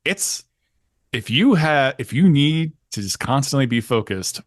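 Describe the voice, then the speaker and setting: Man, middle-aged, deep voice pitched up, rambling casual chat, dry close sound. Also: measured pace, no echo. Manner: rambling, animated, self-interrupting. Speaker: channel host and former game company staffer.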